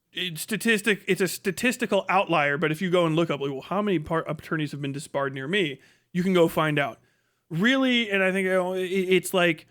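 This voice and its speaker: A man's voice: high voice, fairly bright tone. A man giving casual livestream commentary in a blunt, profane, rambling way. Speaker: livestream host.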